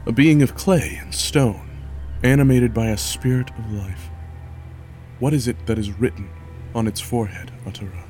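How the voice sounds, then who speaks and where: A man's voice: medium pitch, moderate pace, dark tone, natural voice. Man playing a proud, commanding fantasy king, music behind. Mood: grand, intent. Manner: commanding, coaxing, theatrical. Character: proud, persuasive king, husband to an expectant wife. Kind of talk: fantasy horror audio drama acting.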